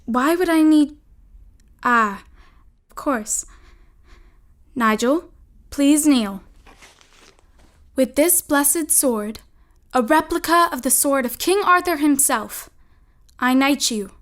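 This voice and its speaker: A woman's voice: high voice, lively intonation, slow, long pauses. A woman playing a commanding, coaxing older sister, a scheming girl from an old-fashioned household, in an audio drama. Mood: grandly solemn.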